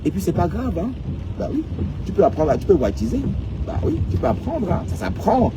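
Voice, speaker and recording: A man's voice: high voice, huge pitch swings, a little fast, background noise. A man from Cameroon with a Cameroonian French accent, ranting and teasing viewers in a casual live stream, often tagging on "hein". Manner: teasing, mocking, peppered with "hein".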